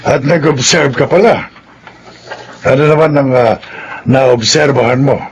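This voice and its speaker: A man's voice: medium pitch, a little slow, lo-fi sound, slight background noise, lightly acted. A Filipino man playing a firm, dismissive grandfather in a radio drama. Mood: inquisitive.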